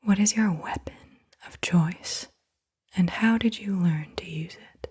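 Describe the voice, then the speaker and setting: A woman whispering softly and gently in an unhurried ASMR style, with a breathy voice, reading out questions. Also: mid-range voice. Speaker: ASMR host. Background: computer keyboard typing.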